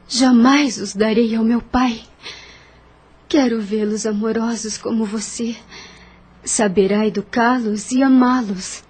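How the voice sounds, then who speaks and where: An actress in an audio drama playing a weak, dying young mother, tender but resolute, speaking haltingly with a breathy, faint voice. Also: a little high, darkish tone. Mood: firm. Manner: halting, slow, earnest.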